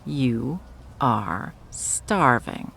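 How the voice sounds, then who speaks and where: A woman's voice: very deep voice, animated, very slow, vocal fry, a bit bright, slightly rough, full character voice. A woman playing a wealthy, possessive corporate executive ex-girlfriend in an ASMR roleplay, condescending and sarcastic with a menacing edge. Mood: scornful. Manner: condescending, sarcastic, drawling sweet talk. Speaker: voice actor in audio roleplay. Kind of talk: ASMR roleplay, dramatic monologue.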